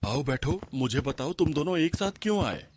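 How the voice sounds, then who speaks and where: A man voicing an elderly landlord father in a story cartoon, husky, measured and commanding. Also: on the high side, animated, background noise, lightly acted. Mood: welcoming.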